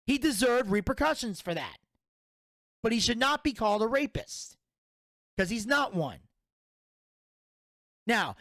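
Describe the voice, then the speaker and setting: A man's voice: extremely high pitch, fairly bright tone, no room sound. A man in his thirties hosting a solo podcast, giving a blunt, profane and opinionated review. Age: 30s. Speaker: podcast host.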